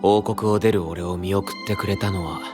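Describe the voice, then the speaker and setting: A man playing an earnest, troubled young knight of a secluded kingdom in a Japanese fantasy voice drama, soft-spoken, measured and sincere. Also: deep voice, fairly even intonation, music in the background, lightly acted. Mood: wistful, reflective.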